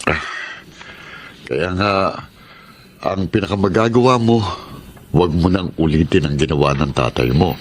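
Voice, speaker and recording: An actor playing an earnest middle-aged Filipino father who gives gentle, measured counsel in a radio drama. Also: deep voice, breathy edge. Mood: fatherly advice. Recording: music bed under the voice. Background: dramatic background music.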